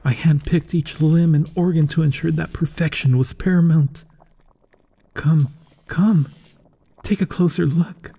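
Man acting as husky, slow-spoken mad scientist. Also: on the high side, fairly even intonation, warm tone. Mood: eager, enticing. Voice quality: husky, breathy, often dropping to a whisper. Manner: theatrical, deliberate, formal. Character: proud, obsessive male mad scientist, courteous and macabre. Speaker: ASMR roleplay voice performer. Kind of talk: ASMR gothic horror roleplay.

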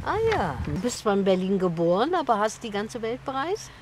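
A woman's voice: mid-range voice, big pitch swings, a little fast, noisy background. A woman interviewing a guest on a park bench, asking encouraging questions. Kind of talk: casual interview. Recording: distant outdoor voice with loud music.

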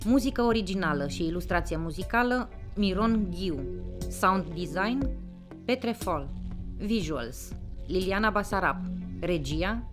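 A woman's voice: mid-range voice, animated, music in the background. A woman announcer reading scripted credits.

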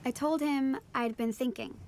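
A woman's voice: sits high, moderate pace, darkish tone, close to an everyday voice. A young woman playing an earnest teenage daughter, narrating a first-person audio drama in a reflective way. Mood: warm, hopeful. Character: teenage daughter of a marine biologist, earnest storyteller. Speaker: narrator and lead character.

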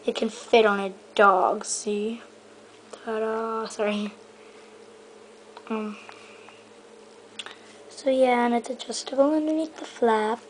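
A woman's voice: on the high side, fairly even intonation, slow, long pauses, slight background noise, rough recording. Chatty young girl touring her toy stable. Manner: rambling, lots of um and so yeah. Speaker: vlogger giving a toy stable tour. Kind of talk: casual show-and-tell. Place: indoor bedroom floor. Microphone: handheld camera mic.

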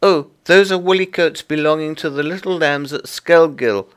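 A man's voice: a little high, normal speed, a bit bright, pitched above the performer's own voice, close to an everyday voice. A man voicing a fussy, polite, stout little hedgehog washerwoman in a storybook, with a flustered, deferential manner. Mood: eager, polite. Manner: bobbing, deferential, flustered, eager to explain. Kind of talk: storybook character voice.

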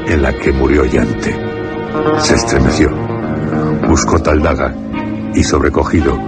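A man narrates a horror and suspense radio play in an extremely deep voice. His delivery is solemn and broken into phrases. Loud music plays underneath. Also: unhurried.

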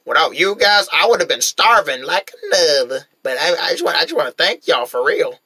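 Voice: medium pitch, big pitch swings, a little fast, pushed and bright, husky, dry recording, exaggerated voice, poor audio. A performer voicing a polite, effusively thankful potato baby creature in a cartoon, with a folksy cowboy drawl. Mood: grateful. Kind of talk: cartoon voice acting.

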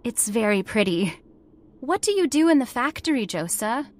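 An actress playing an earnest, headstrong factory heiress in a radio horror drama, with an emphatic, theatrical delivery. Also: female voice, fairly high pitch, very animated, moderate pace. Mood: coaxing, pleading. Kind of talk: old-time radio horror drama acting.

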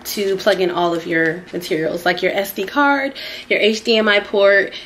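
A young woman vlogging an unboxing in a small living room, chatty and excitable, a little sniffly from a cold. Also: medium pitch, normal speed, slight background noise. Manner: chatty and excitable, addresses viewers as y'all. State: sniffly, getting over a cold. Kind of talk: casual unboxing vlog.

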